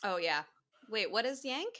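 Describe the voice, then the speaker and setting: A woman co-hosting a podcast in casual chat, talking into a microphone, chatty and quick to laugh, often trailing off mid-thought. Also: a little high, big pitch swings, fairly bright tone, no room sound, clean studio sound. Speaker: podcast co-host.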